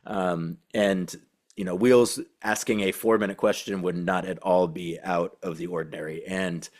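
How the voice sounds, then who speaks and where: A man hosting a sports podcast, reflecting casually in a halting, filler-heavy, rambling way. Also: low voice, steady tone. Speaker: sports podcast host, team reporter.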